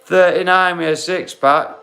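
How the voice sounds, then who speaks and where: A blunt, matey man in his forties chats to his viewers on a phone livestream, calling them mate and bro and swearing freely. His voice sits a little higher than most men's, and faint music plays behind him. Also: steady tone, a little slow, creaky, bright, forceful tone. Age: 40s. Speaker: livestream host. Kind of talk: casual livestream chat to viewers.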